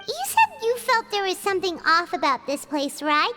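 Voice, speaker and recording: A woman voicing a small, cheeky female fairy companion in a video game, with a squeaky childlike voice and a perky manner. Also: big pitch swings, moderate pace, music in the background. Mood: curious, determined. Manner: perky, speaks of herself in third person. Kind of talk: animated game voice acting.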